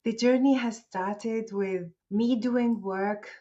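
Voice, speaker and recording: A woman's voice: a little high, steady tone, slow pace, mellow, highs cut off. A woman whose first language is Arabic, a guest founder speaking politely and thoughtfully in an interview. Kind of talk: interview conversation.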